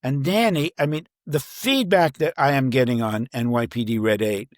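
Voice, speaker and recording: A man talking casually as an author being interviewed, working through his thoughts aloud in halting pieces. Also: mid-range voice, no room sound, clean studio sound. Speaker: crime thriller novelist, interview guest.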